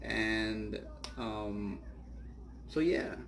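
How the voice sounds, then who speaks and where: A man's voice: lowish voice, extremely slow, vocal fry, noisy background. A man in his late twenties from Bangladesh, bluntly telling his personal faith testimony in a casual, rambling way. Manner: blunt, candid, rambling with fillers. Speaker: testimony speaker. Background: guitar music.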